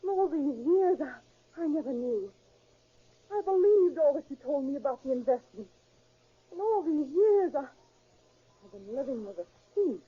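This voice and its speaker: A woman's voice: high-pitched, sing-song, unhurried, pauses often. A woman playing a respectable, trusting wife, earnest and theatrical in a 1930s radio melodrama. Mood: anguished. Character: respectable, trusting wife shocked by husband's crimes. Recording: dull 1930s radio recording.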